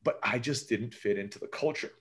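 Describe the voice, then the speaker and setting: Guy in his 40s, sounds american, talks quick and rambly, keeps saying right?. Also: mid-range voice, a bit bright. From Turkey. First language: Turkish. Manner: rambling, tag questions like "right?". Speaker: guest. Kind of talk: casual interview talk.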